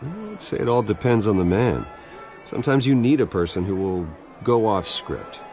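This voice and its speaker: An actor playing a wry, brooding American billionaire in a video game, understated and assured. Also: male voice, lowish voice, sing-song, moderate pace, a little mellow, soft background music. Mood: measured, determined. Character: wry, brooding billionaire man, quietly determined. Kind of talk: video game cutscene acting.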